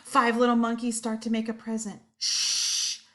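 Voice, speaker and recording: A woman storyteller reading a picture book aloud to young children, expressive and deliberate. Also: fairly high pitch, background noise.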